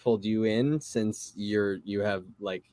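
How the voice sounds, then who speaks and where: A man's voice: lowish voice, fairly even intonation, unhurried, mellow, no room sound. A man chatting informally as one of the hosts of a podcast. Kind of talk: casual chat.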